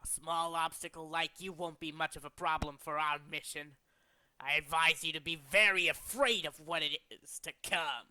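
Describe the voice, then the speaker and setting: Man voicing a cruel, sharp-edged criminal executive. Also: a little high, fairly even intonation, moderate pace, slight background noise. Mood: menacing. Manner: threatening, condescending, theatrical. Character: cold, cruel, menacing male gang executive. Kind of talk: video game villain character acting.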